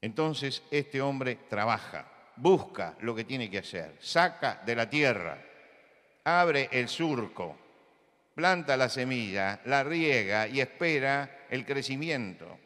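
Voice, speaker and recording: A man from Buenos Aires with a Rioplatense accent, preaching a sermon full of anecdotes, who repeats key words and rises to loud insistence. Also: mid-range voice, animated, takes it slowly, big-room sound. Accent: Rioplatense Spanish. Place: church hall.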